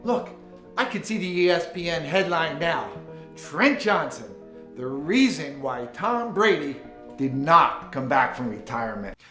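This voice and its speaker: A man's voice: a little high, very animated, measured pace, bright, forceful tone, exaggerated voice. A middle-aged man acting as a proud, upbeat father in an amateur short film, hearty and earnest. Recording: echoey room, music underneath.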